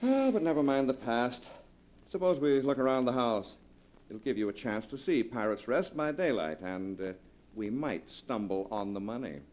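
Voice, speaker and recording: An actor playing a scheming nephew in a 1940s radio drama, speaking in a suave, mocking way. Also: male voice, mid-range voice, animated, moderate pace, background noise, lightly acted. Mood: sardonic. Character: scheming nephew, smooth and sardonic. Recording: old radio broadcast recording.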